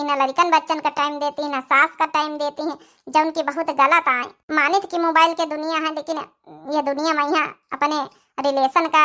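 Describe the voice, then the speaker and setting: A woman's voice: high voice, sharp tone. A woman voicing a repentant young village wife in a cartoon, pleading effusively in an Awadhi accent. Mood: regretful.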